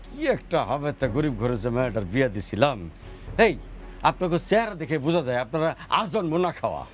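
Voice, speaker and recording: A man's voice: on the high side, animated, quick pace, soft background music, close to an everyday voice. A man acting a newly rich, contemptuous father-in-law in a family drama, with a Bangladeshi accent. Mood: scornful. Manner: mocking, contemptuous, needling.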